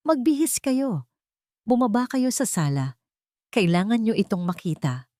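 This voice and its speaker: A woman's voice: medium pitch, big pitch swings, unhurried, mellow, dry recording, clean studio sound, voice pushed up. A woman playing a stern widowed father who speaks with cold control, in a dramatic audio story. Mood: commanding. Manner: controlled, commanding.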